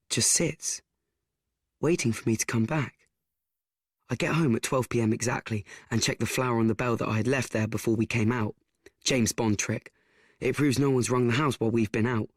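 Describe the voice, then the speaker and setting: A man playing an awkward, self-absorbed teenage boy in a radio play, speaking in a halting, confessional way. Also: medium pitch, husky, no room sound, studio quality, lightly acted. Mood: detached, narrating. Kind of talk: first-person radio play monologue and dialogue.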